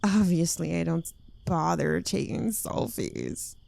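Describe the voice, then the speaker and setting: Woman acting a slow, creaky-voiced possessive witch girlfriend. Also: fairly low pitch, lively intonation, dark tone, dry recording, exaggerated voice. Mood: smug, adoring. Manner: coy, teasing, lingering delivery dropping into breathy whispers. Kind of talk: intimate ASMR roleplay, addressed to the listener.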